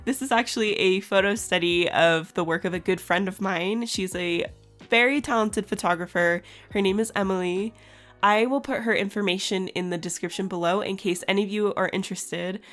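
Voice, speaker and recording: A woman in her twenties with a raspy, scratchy just-woke-up voice, chatting casually in a voice-over for her art channel and laughing often. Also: medium pitch, moderate pace, fairly bright tone, soft background music.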